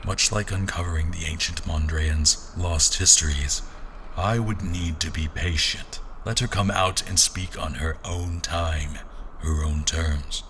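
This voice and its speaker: Casting: male actor for thoughtful male researcher narrating audio drama, extremely low voice, forceful edge, measured literary delivery. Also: fairly even intonation, a little hiss or hum. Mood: reflective, guarded. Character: patient, reflective male researcher of ancient artifacts.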